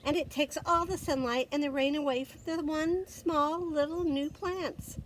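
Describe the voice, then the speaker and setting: A woman storyteller reading a picture book aloud to young children, expressive and dramatic, with deliberate pauses and emphasis. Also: high voice, slight background noise, poor audio. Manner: expressive, dramatic pauses, gasps and exclamations for effect.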